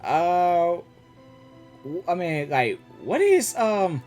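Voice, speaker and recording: A woman gaming streamer giving casual live reactions in an informal, halting, exclamatory way. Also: fairly low pitch, very animated, darkish tone, soft background music.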